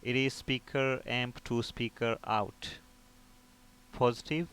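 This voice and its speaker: A man's voice: mid-range voice, steady tone, takes it slowly, a bit bright. A man giving an informal step-by-step technical tutorial, often asking a question and then answering it himself. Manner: explanatory, poses and answers own questions. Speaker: repair technician instructor. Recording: clear background noise.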